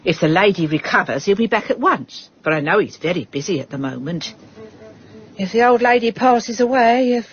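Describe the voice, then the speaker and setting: An actress playing an anxious, deferential, chatty middle-aged household cook in a radio drama. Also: female voice, medium pitch, expressive, normal speed, lo-fi sound, a little hiss or hum, close to an everyday voice.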